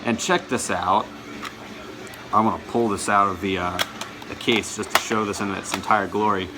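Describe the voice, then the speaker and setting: A man's voice: fairly low pitch, fairly even intonation, normal speed, background noise. A man vlogging in a casual chat to his viewers, rambling and often stumbling over his words and correcting himself. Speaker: vlogger showing his collection.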